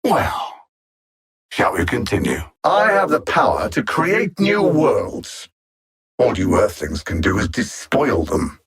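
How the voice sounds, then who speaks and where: A coldly calculating alien supervillain from a video game, haughty and mocking. The voice is husky and full of gravel, sliding widely up and down as he delivers formal, condescending threats. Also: lowish voice, big pitch swings, slow pace, a bit bright, heavily acted. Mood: haughty menace. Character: cold, arrogant alien machine-intellect villain, condescending and calculating. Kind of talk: scripted video game villain dialogue.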